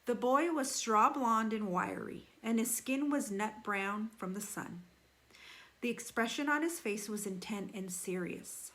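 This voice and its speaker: A woman reading a children's novel aloud like a teacher, animated, with deliberate emphasis and dramatic slowing on key phrases. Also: on the high side. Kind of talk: expressive children's read-aloud.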